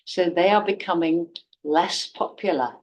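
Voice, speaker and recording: A woman teaching an online class, speaking clearly and evenly and putting quiz questions to her students. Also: lowish voice, darkish tone, poor audio. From Britain. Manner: clear, measured, poses quiz questions to the viewer. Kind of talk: online class introduction video.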